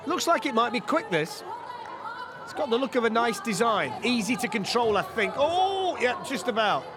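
High-voiced man commentating a race. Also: crowd noise. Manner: excitable, quick reactions, jokey. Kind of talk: live sports commentary. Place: outdoor race track.

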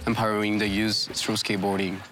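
Low flat-voiced man explaining plainly. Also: fast, music in the background. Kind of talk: interview explanation.

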